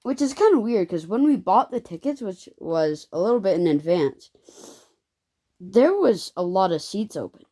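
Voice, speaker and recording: A young vlogger telling a rambling story in a casual way, full of ums and self-corrections. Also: male voice, high-pitched, sing-song, normal speed, warm tone. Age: child.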